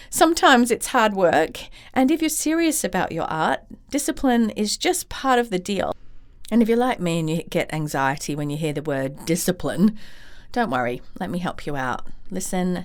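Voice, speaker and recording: A woman hosting her own podcast as a creativity coach, talking straight to listeners in an encouraging way, a little croaky from a chest cold. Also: medium pitch. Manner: warm, encouraging, direct to listeners. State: slightly croaky from bronchitis. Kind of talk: solo podcast talk.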